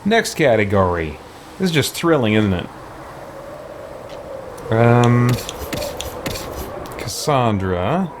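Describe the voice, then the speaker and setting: A man's voice: medium pitch, big pitch swings, slow, long pauses. A man thinking aloud in casual game playthrough commentary, muttering to himself with mild exclamations. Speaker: gaming video host. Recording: clear background noise.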